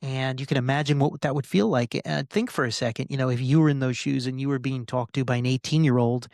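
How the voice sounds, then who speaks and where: A man in his forties talking casually as a podcast host, venting with halting, repetitive phrasing and some swearing. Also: medium pitch, gravelly, a little mellow, no room sound, clean studio sound. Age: 40s. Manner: frustrated, sweary. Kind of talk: casual personal rant to listeners.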